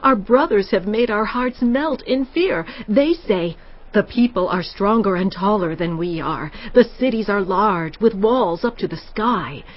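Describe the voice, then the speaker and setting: A woman's voice: medium pitch, a little slow, muffled recording, close to an everyday voice. An actress playing a fearful, bitterly grumbling Israelite woman in a dramatized scripture reading, complaining in anguish.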